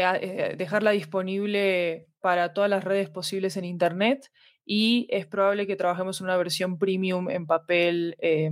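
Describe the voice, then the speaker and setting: A woman's voice: fairly low pitch, no room sound, clean studio sound. A woman from Argentina, talking casually as a podcast guest. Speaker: podcast guest, fiction podcast producer. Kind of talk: casual interview talk.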